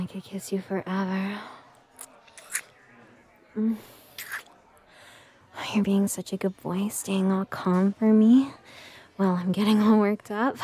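Woman acting as playful adult girlfriend, intimate audio roleplay, unhurried, breathy, soft mellow tone, teasing. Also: medium pitch. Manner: breathy, slow, teasing, often dropping into whispers.

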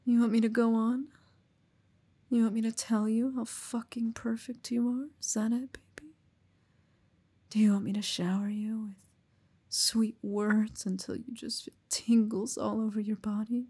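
A woman whispering slowly and teasingly into a close mic, in an affectionate, intimate roleplay. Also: on the high side, fairly even intonation, mellow, husky. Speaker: audio roleplay performer.

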